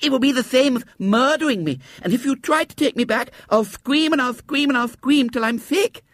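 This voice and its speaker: A man voicing a small, shrill, spoilt schoolgirl who is lisping, stubborn and demanding, in a children's storybook reading. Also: lively intonation, moderate pace, dry recording, studio quality. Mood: pleading, threatening.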